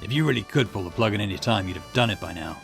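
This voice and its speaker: A man voicing a gruff, hard-boiled police detective in a visual novel, blunt and confrontational. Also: fairly low pitch, expressive, rapid-fire, fairly bright tone, soft background music. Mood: blunt, accusing.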